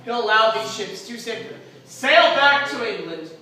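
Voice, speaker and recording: Casual: guy playing a fired-up colonial orator, bright high-ish voice, far off in a big echoey room. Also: animated, normal speed, slight background noise, exaggerated voice. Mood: rousing. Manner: declamatory, speech-making to a crowd. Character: fiery male colonial patriot orator. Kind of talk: live historical reenactment. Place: large echoey meeting hall.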